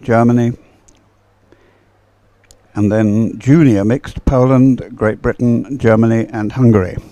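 A man commentating live on a race as a sports commentator, unhurried and halting, in short phrases. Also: mid-range voice, steady tone, mellow, dry recording.

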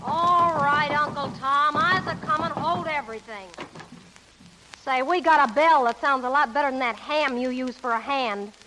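A woman's voice: sits high, normal speed, natural voice. An actress playing a brassy, blunt, loyal showgirl pal with slangy wisecracks, in 1930s radio comedy-drama style. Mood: brassy, teasing. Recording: noisy, hissy 1930s radio broadcast.

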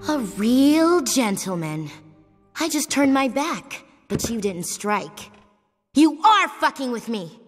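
Video game role: bloodthirsty young female assassin, taunting, slow delivery with wide swoops, music behind. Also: sits high, sing-song, full character voice. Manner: taunting, profane, intense. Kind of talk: dramatic video game voice acting. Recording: long reverb, music behind.

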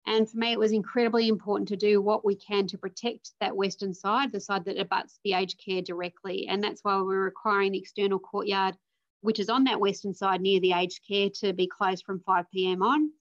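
A woman in her forties with an Australian accent, speaking as a councillor at a formal meeting, measured and careful as she reads out motion wording. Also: mid-range voice, level tone. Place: home or office room on a video call. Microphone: video call. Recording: dull lo-fi video call audio.

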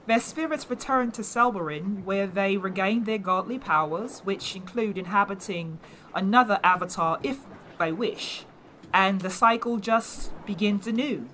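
A woman's voice: mid-range voice, measured pace, a bit bright, a little hiss or hum, natural voice, rough recording. An actress playing a devout, skeptical older mother with folksy, rustic phrasing in a fantasy audio drama. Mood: doubtful, thoughtful.

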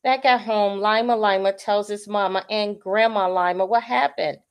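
A woman reading a children's picture book aloud as a storyteller, with expressive delivery. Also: medium pitch, moderate pace, clean tone.

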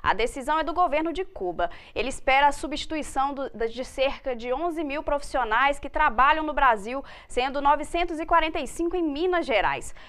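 A young woman anchors the evening news from a studio set, her voice high and clear. She reads each story in an even, formal cadence. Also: fairly quick, few pauses. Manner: steady, formal reading. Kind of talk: read news presentation.

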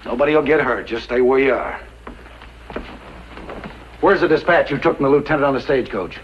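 A man's voice: mid-range voice, fairly quick, natural voice. An actor playing a menacing outlaw gunman in a 1950s radio western, hard and threatening. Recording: muffled, noisy old radio recording.

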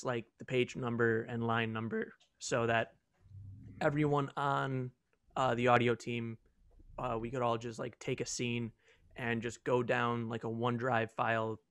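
A man's voice: mid-range voice, a bit bright, clear, resonant tone, no echo, studio quality. A young man answering casually on a video call Q&A as a student audio team member. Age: young adult.

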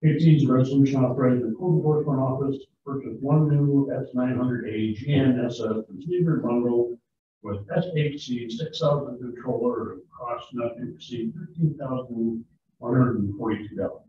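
Guy running a town board meeting, rattling off numbers, far off in a big echoey room, tinny sound. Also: mid-range voice. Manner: brisk, matter-of-fact, rattles off figures. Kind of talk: reading resolutions and bills at a meeting. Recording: distant echoey hall audio, thin phone-like sound, faint hiss.

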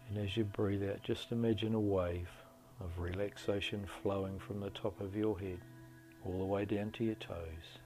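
A middle-aged man leading a guided meditation in a slow, deliberate, soothing delivery with long pauses. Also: fairly low pitch, steady tone. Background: soft meditation music.

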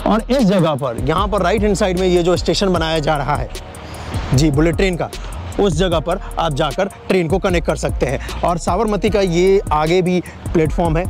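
A man's voice: fairly high pitch, darkish tone. A man giving a chatty walking tour outdoors beside railway tracks, pointing things out and talking to his viewers as friends. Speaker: vlogger showing construction sites. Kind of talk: casual walking tour commentary.

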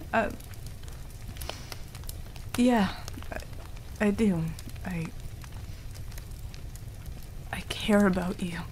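A woman's voice: mid-range voice, a little mellow, slight background noise. A woman voicing a proud but flustered young female werewolf in an intimate ASMR roleplay, stammering and defensive. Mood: scared, tender.

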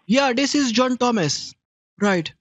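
A man's voice: extremely high pitch, expressive, slow, no room sound, lo-fi sound, exaggerated voice. A man playing a confused victim on a prank call, speaking broken English in a put-on Indian accent and teasing the caller. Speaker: scam baiter posing as a victim.

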